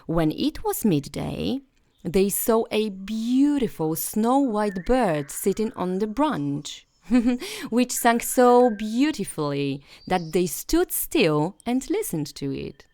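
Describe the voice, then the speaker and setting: A woman narrating a bedtime fairy tale as a storyteller, in a gentle, soothing and expressive way. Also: medium pitch, slow, no room sound.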